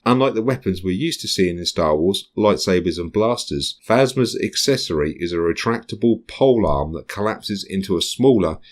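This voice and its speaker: A man's voice: expressive, normal speed, hardly any pauses. A man hosting a casual fan podcast, reading news items and musing with frequent ums and hedges. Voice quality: low voice, hesitant with many ums. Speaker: podcast host.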